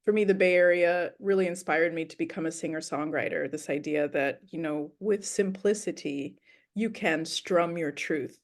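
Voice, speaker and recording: A woman born in Ethiopia answers interview questions in a thoughtful, storytelling manner, pausing on ums and you-knows as she explains her music. Her voice sits a little low. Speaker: musician guest. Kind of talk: casual interview talk.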